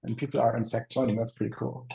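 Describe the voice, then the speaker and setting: Chatty man, medium pitch. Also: level tone, quick pace, gravelly, warm tone, low-quality recording. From Germany. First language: German. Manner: chatty, informal. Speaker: webinar co-presenter. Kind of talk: casual chat.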